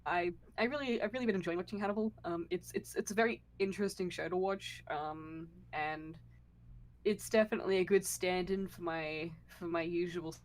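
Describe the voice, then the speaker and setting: A woman talking casually as a guest on a podcast about her creative work, with a halting, giggly delivery. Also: lowish voice. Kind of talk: casual chat.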